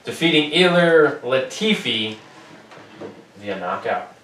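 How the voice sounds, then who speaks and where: A man's voice: medium pitch, sing-song, slow pace, fairly bright tone. A young man from the US co-hosting a casual sports podcast, loose and profane, with frequent joking. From United States. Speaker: podcast co-host. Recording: roomy, distant mic with faint noise.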